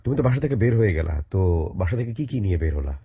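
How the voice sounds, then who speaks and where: Husky, low-voiced Bangladeshi man hosting radio. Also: sing-song, fast talker, dry recording, dull audio. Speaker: radio show host, interviewer. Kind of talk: probing life-story radio interview. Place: radio studio.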